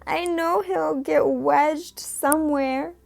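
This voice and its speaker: A woman reading aloud as a tearful, worried mother in a storybook, speaking anxiously and softly. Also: sits high, fairly even intonation, takes it slowly, vocal fry, voice pushed up, heavily acted.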